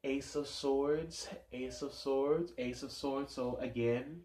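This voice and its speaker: A man's voice: medium pitch, fairly even intonation, slow pace, darkish tone, a little hiss or hum. Stuffed-up man musing over tarot cards. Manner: musing, rambling, many fillers. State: stuffed-up nose. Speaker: tarot reader. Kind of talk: casual tarot reading vlog. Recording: echoey room sound.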